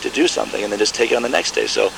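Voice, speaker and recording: A man from Michigan talks through his thoughts slowly, circling back and restarting. His voice sits low with a gravelly fry. Clear vehicle noise runs behind him. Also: a bit bright, low-quality recording. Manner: reflective, halting with many restarts. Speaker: musician interviewee. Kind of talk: casual interview answers.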